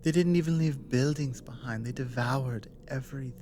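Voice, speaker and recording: A woman playing an earnest, resolute female dragon ally in an ASMR roleplay, speaking in halting, intimate phrases with pauses. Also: very deep voice, mellow, a little hiss or hum. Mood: grave.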